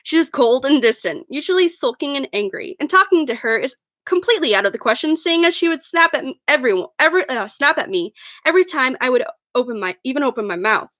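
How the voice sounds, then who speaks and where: High, bright-voiced woman reading a story. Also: lively intonation, fast talker, no room sound, dull audio. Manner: stumbles over words while reading. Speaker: author reading own fan fiction. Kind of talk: first-person story narration, amateur read-aloud.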